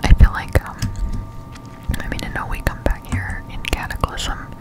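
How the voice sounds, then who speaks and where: A woman whispering casual, halting ASMR commentary over gameplay in a breathy voice, close to the microphone. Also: poor audio. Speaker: gaming streamer, ASMR creator.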